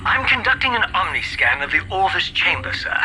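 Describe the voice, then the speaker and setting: Fussy, high-pitched robot butler. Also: male voice, big pitch swings, a little fast, music in the background, rough recording. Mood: put-upon. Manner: dry, deferential yet snide. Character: fussy, sardonic male robot butler, put-upon. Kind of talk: video game cutscene acting.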